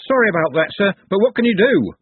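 A man's voice: high voice, very animated, quick pace, slightly rough, no room sound, pitched above the performer's own voice, exaggerated voice. A man doing a sheepish team captain. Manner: apologetic, sheepish. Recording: tinny phone-like band.

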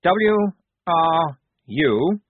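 A man playing a pompous high-voiced lieutenant. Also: sing-song, very slow, slightly rough, no echo, heavily acted. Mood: fussy, commanding. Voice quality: prim, self-important, high-strung. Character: pompous fussy young male army lieutenant. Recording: tinny phone-like dry sound.

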